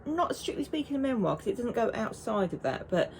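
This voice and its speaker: A woman's voice: a little high, big pitch swings, brisk, slight background noise. A woman, chatting casually and rambling to camera as a knitting vlogger. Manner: chatty and rambling, with frequent restarts.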